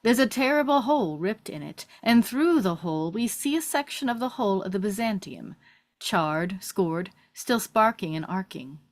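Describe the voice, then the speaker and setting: A woman with a mid-pitched voice narrates a script. She reads scene headings and stage directions as part of a table read. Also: animated, moderate pace.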